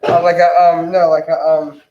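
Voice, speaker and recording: A man's voice: on the high side, little pitch movement, normal speed, dull audio. A young man chatting loosely and joking as a co-host in a hot studio. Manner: joking, loose, laughs often. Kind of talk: casual chat. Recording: echoey room with loud noise.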